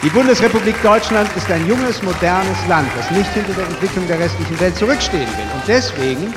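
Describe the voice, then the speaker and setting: Pompous jovial male emcee, radio play, high voice, echoey hall, crowd noise, formal showman delivery. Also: lively intonation, a little fast. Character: jovial, pompous master of ceremonies at a 1960s club. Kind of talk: stage announcement in a radio play. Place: large club hall with audience. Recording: echoing hall PA with music, crowd.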